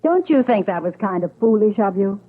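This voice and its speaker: A woman's voice: medium pitch, lively intonation, close to an everyday voice. An actress playing a nosy, practical female friend in an old-time radio drama, probing and incredulous. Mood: coaxing. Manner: probing, incredulous, brisk opinions. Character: nosy, practical girlfriend pressing for confidences. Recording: thin phone-like old radio recording.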